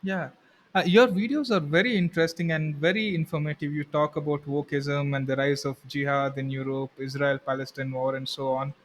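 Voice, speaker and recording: A man's voice: fairly high pitch, fairly even intonation, brisk. An Indian-accented man hosting a podcast in a casual interview style. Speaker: podcast host.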